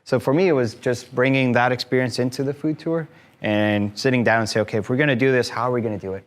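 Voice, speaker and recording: A man's voice: mid-range voice, fast talker. A man in his thirties from Mexico talks to a room full of listeners. His voice sits in a medium range. He explains things in a friendly way, with plenty of "um"s along the way. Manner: friendly, explanatory, frequent fillers. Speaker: guest speaker, tour company owner. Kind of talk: conversational talk to a live audience. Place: event room with an audience.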